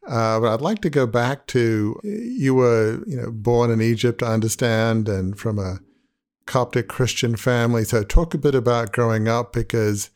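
A man's voice: medium pitch, moderate pace. A man hosting an interview show who asks long, rambling questions with restarts. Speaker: host.

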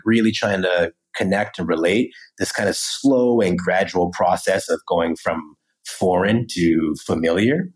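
A man from the United States, a podcast guest, telling his story in a casual, animated way with many "right?" and "you know" asides. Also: low voice, poor audio.

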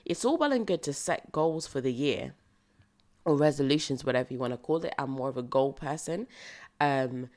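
A British woman hosting a solo podcast, slightly croaky from recording early in the morning, speaking in an earnest, motivational way and repeating phrases for emphasis. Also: very deep voice, animated, moderate pace, darkish tone. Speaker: podcast host. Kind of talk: solo reflective podcast monologue.

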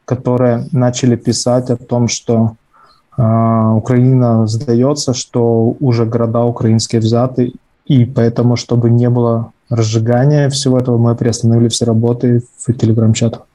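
A man from Ukraine speaking as an interview guest, halting with many pauses. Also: mid-range voice, flat delivery, dark tone. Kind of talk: interview answers.

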